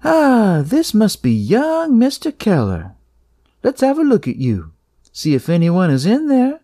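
A narrator voicing a warm, brisk female hospital nurse with a rich voice and folksy, reassuring chatter. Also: mid-range voice, huge pitch swings, no echo, studio quality, voice pushed up, heavily acted. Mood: brisk, kindly.

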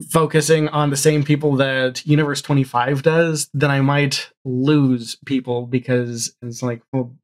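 A middle-aged or younger man chatting casually as a podcast guest, rambling a little as he thinks aloud. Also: mid-range voice. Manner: rambling, thinks aloud, often ends on "right?". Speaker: guest, audio drama showrunner. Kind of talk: casual chat. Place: home room, video call.

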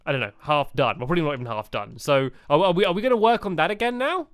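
A woman's voice: low-pitched, lively intonation, fast talker, dry recording, clean studio sound. Giggly chatty woman playing a game. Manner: giggly, chatty, lots of fillers. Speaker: gaming video co-host. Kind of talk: casual gameplay chat.

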